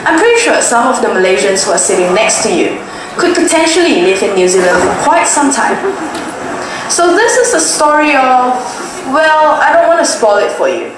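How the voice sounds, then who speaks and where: A woman's voice: sits high, big pitch swings, normal speed. A woman narrating a stage show to the audience, with a friendly, teasing manner. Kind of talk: scripted narration. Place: large stage hall. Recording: very echoey hall with noise.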